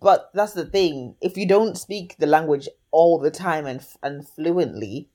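A woman's voice: low voice, measured pace, dark tone. A woman chatting casually with friends, explaining her point earnestly and with emphasis. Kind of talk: casual chat.

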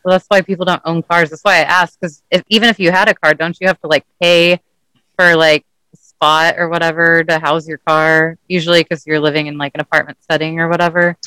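A man from Dallas with a bright, smooth voice, recorded dry over a video call. He chats freely as co-host, asking curious questions and swearing casually. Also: fairly high pitch, little pitch movement, a little fast, no echo, poor audio. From Dallas, Texas. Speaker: podcast co-host. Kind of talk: casual interview chat.

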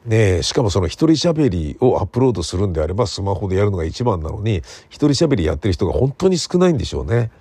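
A man's voice: on the low side, sing-song, fast, hardly any pauses, warm tone, slightly rough, no room sound. A man in his sixties talking casually on his own as a podcast host, musing and rambling in a colloquial way. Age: 60s. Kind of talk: casual solo free talk.

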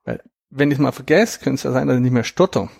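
A man in his thirties, a guest in an interview, explaining at length in a reflective, measured way with a gravelly, husky voice. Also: mid-range voice, a little mellow, dry recording, studio quality. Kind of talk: conversational interview.

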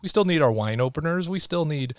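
A man's voice: on the high side, brisk, clean tone, dry recording, clean studio sound. A man in his forties hosting a podcast, chatting casually and animatedly, acting out what other people say. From United States. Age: 40s. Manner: animated, quotes people in lively voices. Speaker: podcast host.

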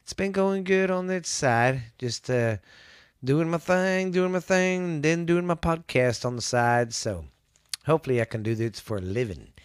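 A man's voice: normal speed, clear, resonant tone, no echo, clean studio sound. A man hosting a podcast in a casual, rambling way, his voice stuffy and congested from a sinus cold. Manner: rambling, drops to low spooky whispers. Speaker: podcast host.

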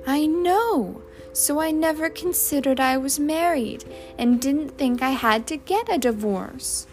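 Guileless storybook wife, voiced by a woman narrator: highish pitch, earnest, plain-spoken. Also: measured pace, soft background music. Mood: earnest, defensive. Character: unrepentant, guileless working-class wife, earnest and adoring.

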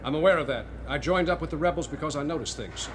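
Guy as dutiful young rebel officer, high voice, talks fast, formal, radio drama w noisy effects. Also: natural voice. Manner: formal, insistent, by-the-book. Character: earnest, dutiful young male rebel lieutenant.

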